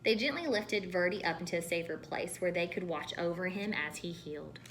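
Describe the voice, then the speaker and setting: Bright-voiced woman reading a children's story aloud. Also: fairly low pitch, slight background noise. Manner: expressive, pausing to ask questions. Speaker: read-aloud teacher.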